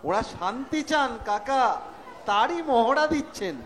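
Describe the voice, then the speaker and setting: Man acting a high-voiced cheeky young nephew. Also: expressive, measured pace, a bit bright, heavily acted. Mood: wry. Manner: mock-earnest, wry. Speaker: amateur actor, schoolteacher. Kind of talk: staged comic Bengali audio drama. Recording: stage recording with audience noise.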